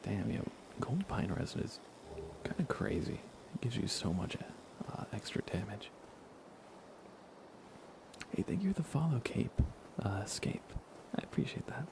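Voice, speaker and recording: Low, creaky-voiced man, hushed gamer chat. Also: sing-song, unhurried, pauses often, background noise. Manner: hushed, often slipping into near-whisper. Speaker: livestreamer and game player. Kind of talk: soft ASMR gaming commentary, casual chat.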